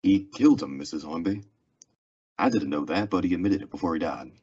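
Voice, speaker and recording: A man playing a stoic, plainspoken Old West lawman in a radio western, terse, restrained and polite, with a husky voice. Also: low voice, fairly quick, a little mellow. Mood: somber, firm. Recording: dull lo-fi radio drama recording.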